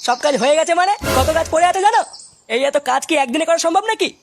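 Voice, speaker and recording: A performer plays a grumbling young cartoon labourer. The delivery is high-pitched, rapid and impatient, thick with swearing. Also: lively intonation, noisy background. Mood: protesting. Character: grumbling, sweary young workshop worker resenting the boss. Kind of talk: crude comic cartoon dialogue.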